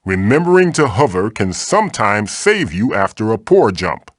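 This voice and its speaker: A man's voice: lowish voice, very animated, moderate pace, no echo, studio quality. A man voicing an old magical mask, a bossy, wisecracking mentor guiding the player in a video game with theatrical, wry delivery. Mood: instructive.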